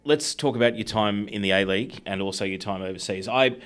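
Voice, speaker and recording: A man's voice: lowish voice, a little fast, fairly bright tone, faint music behind. An Australian man hosting a conversational sports interview, asking long, detailed questions. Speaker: interviewer and show host.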